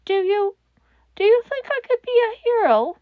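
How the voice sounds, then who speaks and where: A woman's voice: extremely high pitch, big pitch swings, moderate pace, no room sound, phone-quality sound, voice pushed up. A woman voicing a small, timid, scared child with a cracked, hesitant voice, stammering through a hotline call in a story. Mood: fragile hope.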